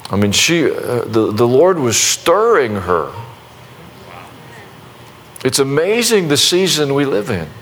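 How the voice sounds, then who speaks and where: A man preaching to his congregation in a conversational, folksy way, with many restarts and a warm self-deprecating humor, then leading a prayer. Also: mid-range voice, a little hiss or hum. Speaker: pastor.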